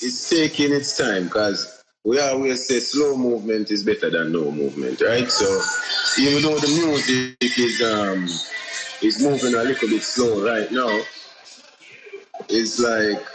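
A Jamaican man talking about his music in a relaxed interview, unhurried and repetitive, often adding "you know what I say". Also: mid-range voice, rough recording. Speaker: independent musician guest. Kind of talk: casual interview answers.

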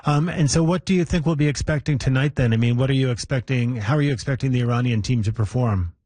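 A man hosting a broadcast interview, putting questions in a measured, polite way. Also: mid-range voice, a little mellow, dry recording. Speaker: radio interviewer, host.

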